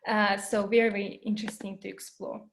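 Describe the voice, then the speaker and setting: Woman from New Zealand, workshop presenter, earnest delivery, filler-heavy, mid pitch. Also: flat delivery, brisk, vocal fry, slight background noise. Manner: earnest, many fillers and repeated words. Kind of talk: online educational talk. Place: home, Berlin.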